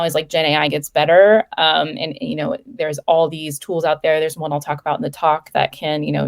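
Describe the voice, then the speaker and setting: Woman guest, low voice with vocal fry, explanatory conversational delivery. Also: darkish tone. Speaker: threat intelligence analyst guest. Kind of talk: casual interview chat.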